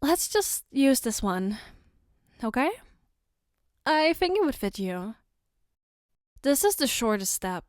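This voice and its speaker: A woman playing an affectionate, gently teasing girlfriend in a soft-spoken ASMR roleplay, tender and reassuring. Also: on the high side, no echo, clean studio sound, exaggerated voice. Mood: gently coaxing. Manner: tender, reassuring, playfully coaxing, unhurried. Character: affectionate, gently teasing girlfriend doing her partner's makeup.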